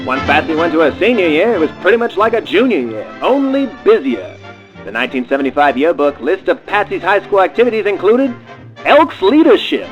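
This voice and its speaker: A man's voice: high voice, very animated, a little fast. A man narrating a comedy radio play in a scripted mock-documentary style. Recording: dull lo-fi sound, music behind. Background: background music.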